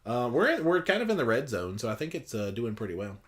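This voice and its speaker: A man's voice: medium pitch, sing-song, fast, a bit bright. A man co-hosting a casual livestream, reading out viewer comments and joking around. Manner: jokey, teasing. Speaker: livestream co-host.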